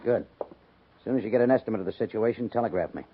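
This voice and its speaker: An actor playing a concerned commanding army major in a 1950s radio western, thoughtful and conversational with quiet authority. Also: male voice, lowish voice, quick pace, slightly rough. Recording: dull 1950s radio, faint noise.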